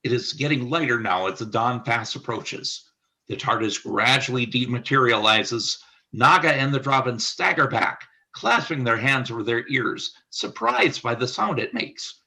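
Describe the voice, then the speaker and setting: A man's voice: medium pitch, animated, normal speed, vocal fry, bright, forceful tone, low-quality recording. A man reading scripted stage-direction narration for a radio play.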